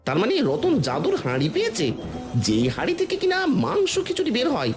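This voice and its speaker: A man voicing a scheming, greedy village butcher in a cartoon, an excitable husband who talks in agitated exclamations. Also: very high voice, quick pace, music in the background, full character voice. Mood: excited, greedy. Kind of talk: cartoon voice acting.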